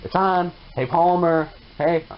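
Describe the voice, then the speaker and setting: A man's voice: fairly high pitch, fairly even intonation, slow pace. Young boy over muffled, very noisy audio. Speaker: prankster older brother filming a home video. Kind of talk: casual home chat.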